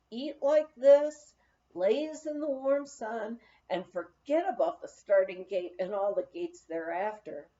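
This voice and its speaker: Female audiobook reader for a memoir: animated intonation, slow expressive delivery, slightly muffled sound. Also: a little high. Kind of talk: scripted narration of a humorous memoir, read aloud.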